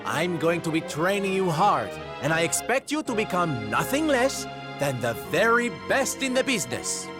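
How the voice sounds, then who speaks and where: A male actor playing a flamboyant, warm and peppy troupe leader in a video game, camp, theatrical and exclamatory. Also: high-pitched, very animated, moderate pace. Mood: firm. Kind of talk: video game cutscene voice acting. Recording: background music under the voice. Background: orchestral game music.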